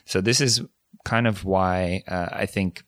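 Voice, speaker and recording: A man's voice: low voice, steady tone, measured pace, no room sound, studio quality. A man co-hosting a podcast on language learning, explaining ideas in a thoughtful, casual way with frequent restarts. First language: English. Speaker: podcast co-host. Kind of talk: casual educational chat.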